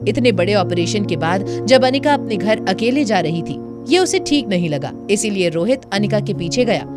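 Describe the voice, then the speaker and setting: A female narrator with a medium-pitched voice tells a story for an audio drama. Her delivery is scripted and dramatic, with music clearly playing behind her. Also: animated, normal speed. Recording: music bed under narration. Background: dramatic background music.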